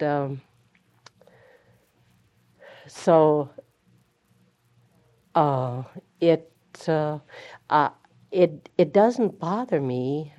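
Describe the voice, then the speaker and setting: A woman's voice: sits low, mellow. An older woman teacher recalling her classroom discipline in an interview, speaking hesitantly with many fillers and restarts. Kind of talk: oral history interview. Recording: dull lo-fi tape.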